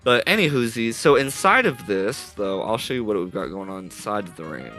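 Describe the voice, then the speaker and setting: A man's voice: lowish voice, very animated, fairly quick. A man streaming live as he builds a game model, chatting casually and rambling with constant self-corrections, his voice a little stuffy through a headset mic. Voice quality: slightly stuffy. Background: soft jazz music playing.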